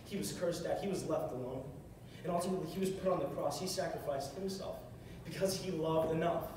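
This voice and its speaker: A man's voice: on the high side, fairly quick. A young man giving a short, earnest sermon from a stage, repeating phrases for emphasis, recorded from across a large hall. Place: large carpeted hall, on a stage at a music stand. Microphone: far camera across the room. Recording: echoey hall from far off, with noise.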